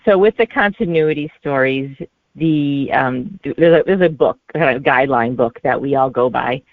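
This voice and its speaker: A woman in her forties answering casually as a guest author in an interview, with a halting, hesitant delivery. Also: very deep voice, no room sound. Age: 40s. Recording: narrow, tinny phone-like line.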